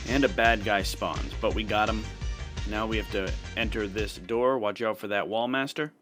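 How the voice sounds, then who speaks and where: A man with a fairly low voice narrates a video game walkthrough. He talks casually and instructively, step by step, with music playing behind him. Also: moderate pace, fairly bright tone. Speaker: gameplay video host.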